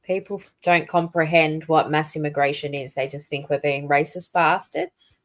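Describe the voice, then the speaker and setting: A co-host in a casual panel chat, chatty and quick to back others up. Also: medium pitch, fairly even intonation, no room sound. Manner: chatty, affirming backchannels.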